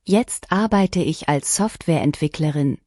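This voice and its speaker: A woman reading short lesson sentences one at a time, each clearly and deliberately, for learners to repeat. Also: sits low, darkish tone, no echo, clean studio sound. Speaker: language lesson reader. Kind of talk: scripted shadowing practice reading.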